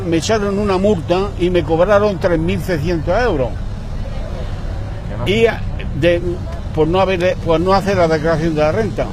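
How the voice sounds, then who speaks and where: A man's voice: a little high, noisy background. An older man from Spain, a returned emigrant, telling rambling, indignant stories in casual street chat outdoors.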